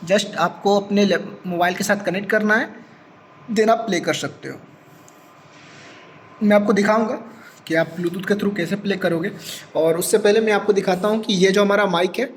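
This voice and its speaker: High-pitched man giving a hands-on tech tutorial. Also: slight background noise. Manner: step-by-step, repeats points, mixes English terms into speech. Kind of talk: practical hands-on demonstration.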